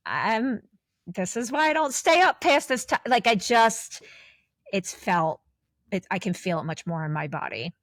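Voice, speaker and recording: A woman's voice: mid-range voice, lively intonation, a little slow, dry recording. A woman in her forties co-hosting a podcast, talking candidly in a rambling, casual way with many restarts. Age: 40s. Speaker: podcast co-host.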